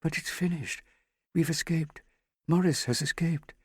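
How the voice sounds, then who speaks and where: A man voicing a distraught young woman who pleads anxiously, in a higher, strained voice. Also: unhurried, breathy edge, dry recording, clean studio sound. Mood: pleading.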